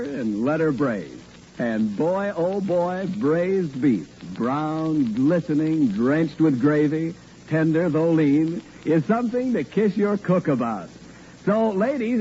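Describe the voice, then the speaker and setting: Animated 1940s radio announcer, a man. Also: on the high side. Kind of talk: 1940s radio scripted advertising and narration. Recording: dull, noisy vintage radio recording.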